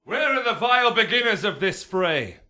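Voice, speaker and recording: An actor playing a stern, commanding prince in a Shakespearean radio drama. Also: male voice, high-pitched, sing-song, moderate pace, sharp tone, full character voice.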